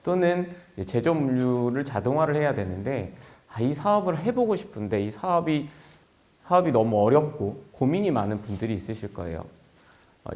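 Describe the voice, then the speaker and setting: A man giving a formal conference presentation as a company executive, speaking in a polite, explanatory manner. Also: medium pitch.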